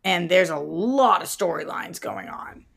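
A young woman co-hosting a casual pop-culture podcast, speaking in a measured, thoughtful way, full of "like" and "um". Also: lowish voice, expressive, creaky, fairly bright tone, husky. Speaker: podcast co-host.

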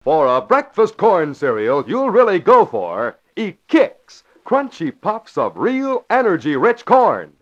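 A man's voice: high-pitched, wildly swooping pitch, slow pace, hardly any pauses. A male announcer and narrator of an old radio show, delivering sponsor lines and narration in an emphatic, declamatory way. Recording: muffled old radio broadcast.

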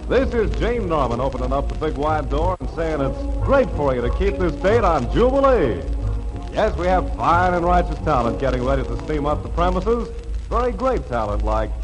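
A man's voice: a little high, very animated, normal speed, over music, rough recording. An American man announcing a 1940s radio variety show in theatrical, jive-talking showman patter on a vintage recording.

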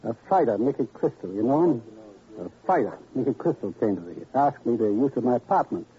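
An actor playing a brash, slangy fight promoter, glib and defensive, with a husky wisecracking delivery in an old-time radio crime drama. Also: male voice, mid-range voice, expressive, a little fast, close to an everyday voice. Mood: confiding. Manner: slangy, wisecracking tough-guy talk. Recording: dull, lo-fi vintage radio recording.

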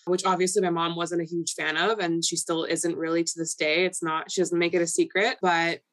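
Brief: young Canadian woman, Polish first language, lowish voice, rambling candid guest. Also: level tone, a little fast, fairly bright tone. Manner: chatty, filler-heavy, candid. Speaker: guest influencer. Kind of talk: casual podcast interview.

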